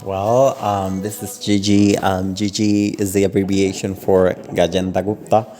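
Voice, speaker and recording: A young man from Panama with a notably low, deep voice chats casually on a student podcast. He hesitates often, filling gaps with ums, and lets the conversation wander. The delivery is relaxed and unpolished. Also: unhurried. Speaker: podcast co-host. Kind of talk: casual chat.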